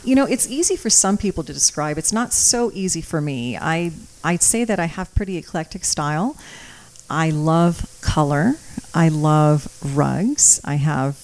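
A woman's voice: low voice, expressive, unhurried, poor audio. A middle-aged American woman, a native Croatian speaker, chatting as a guest in a podcast interview. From Napa Valley, California, US. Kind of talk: conversational interview. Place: office.

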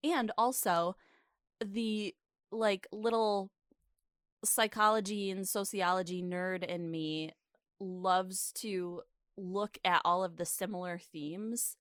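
A woman co-hosts a casual podcast in an effusive, emphatic way. She takes her time as she talks, at a middling pitch. The sound is dry and close, with no room around her. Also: fairly even intonation, slow pace, clear, resonant tone, no echo. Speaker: podcast co-host.

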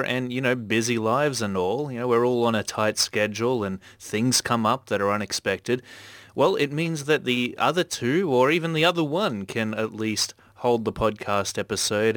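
A man hosting a podcast, talking at length in a relaxed, reflective way with many fillers. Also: on the low side, dry recording. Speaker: podcast host. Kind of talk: casual podcast chat.

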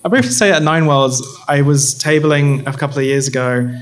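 A man's voice: on the high side, normal speed. A man co-hosting a live podcast panel, telling anecdotes with dry, comic timing. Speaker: podcast co-host.

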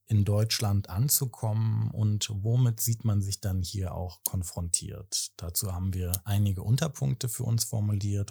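A man in his thirties from Berlin, co-hosting a podcast and explaining things in a measured, slightly formal way. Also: on the low side, creaky, mellow, husky. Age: 30s. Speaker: podcast co-host. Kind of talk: casual podcast talk.

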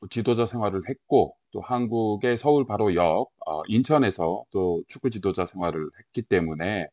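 A man's voice: on the low side, darkish tone, no room sound, clean studio sound. A man hosting a news interview, posing questions in a polite, formal style. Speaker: news show host.

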